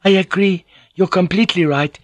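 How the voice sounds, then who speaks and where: A man's voice: high-pitched, steady tone, moderate pace, no echo, pitched above the performer's own voice, natural voice. A man reading an audiobook, voicing a polite, anxious young Japanese noblewoman who serves as interpreter. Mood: soothing. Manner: polite, soothing, earnest. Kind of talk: audiobook character voice.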